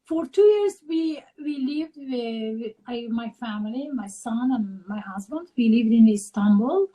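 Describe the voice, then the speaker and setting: A middle-aged woman from Turkey with a Turkish accent, speaking slowly and haltingly as she searches for words in an interview. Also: on the high side, animated, mellow, slightly rough, rough recording. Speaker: guest artist. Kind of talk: interview over a live video call.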